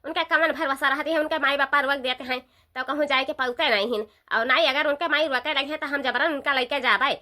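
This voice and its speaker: A woman's voice: sits high, steady tone, fairly quick, pushed and bright. A woman voicing a bossy, gossipy village sister-in-law in a comedy cartoon, emphatic and repetitive with an Awadhi accent. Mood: urging, eager.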